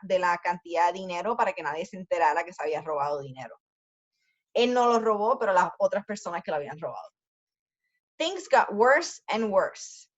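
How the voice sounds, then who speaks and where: A woman teacher reading a story aloud for language learners, pausing often to explain words in quick asides. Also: mid-range voice, muffled recording.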